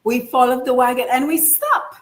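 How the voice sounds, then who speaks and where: A woman author speaking as a guest in an online interview over a video call, earnest and expressive. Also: high voice, normal speed. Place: home in Georgia.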